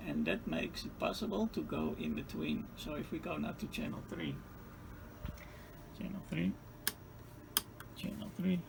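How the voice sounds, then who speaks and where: A man with a heavily creaky, fry-laden voice that sits a little high talks through a hands-on technical demonstration. He explains piece by piece, haltingly, repeating phrases as he goes. Clear background noise runs under the home-made recording. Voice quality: creaky, gravelly voice. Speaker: radio repair tutorial host. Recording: clearly noisy home-made video sound.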